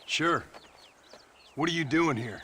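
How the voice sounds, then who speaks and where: An actor playing a gruff, sardonic Old West outlaw in a video game, with an American accent and a blunt manner. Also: male voice, on the high side, takes it slowly, bright, forceful tone, slight background noise. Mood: suspicious. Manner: dry, blunt. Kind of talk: video game cutscene acting.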